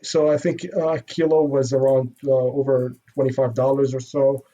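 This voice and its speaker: A man's voice: mid-range voice, level tone, normal speed, mellow. Hesitant man, lo-fi sound. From Iraq. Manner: hesitant, many fillers and restarts, modest. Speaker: guest. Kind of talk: casual interview. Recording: dull lo-fi sound.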